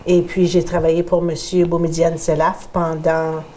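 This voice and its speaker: A woman's voice: low-pitched, flat delivery, moderate pace, dark tone, slight background noise. A middle-aged woman from Ontario, a new co-host giving short, hesitant answers with fillers. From Ontario, Canada.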